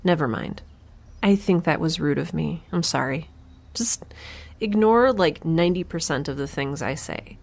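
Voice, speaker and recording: A woman playing a wry, frazzled young scientist in an audio drama, chatty and sardonic with halting moments. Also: deep voice, animated, vocal fry, heavily acted. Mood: playful, awkward. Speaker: lead actor and creator of the podcast. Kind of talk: first-person audio drama, spoken log.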